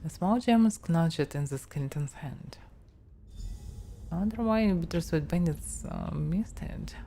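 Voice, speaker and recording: A woman with a Russian accent, musing softly to herself while giving casual commentary on her game stream. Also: fairly low pitch, animated, a little slow, faint music behind. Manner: soft-spoken, musing to herself.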